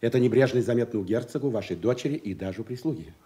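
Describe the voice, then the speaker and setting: A man's voice: mid-range voice, racing, mellow, close to an everyday voice. A man acting an earnest, deferential knight in a theatrical radio drama, respectful and cautious. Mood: deferential, concerned. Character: deferential, earnest knight reporting to his king.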